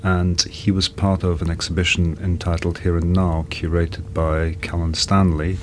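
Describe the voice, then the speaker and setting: A man from a gallery explains things in a measured way. His voice is low and quite flat. A faint rumbling noise sits behind him. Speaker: gallery director. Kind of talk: casual interview talk.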